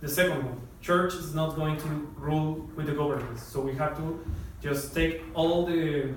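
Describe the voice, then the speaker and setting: A man's voice: on the high side, steady tone, moderate pace, a little hiss or hum. A man from Mexico with a Mexican accent, a native Spanish speaker, answering questions at a lecture with frequent hesitations. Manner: hesitant, restarts phrases. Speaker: guest speaker. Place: lecture hall. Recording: echoey hall, far from mic.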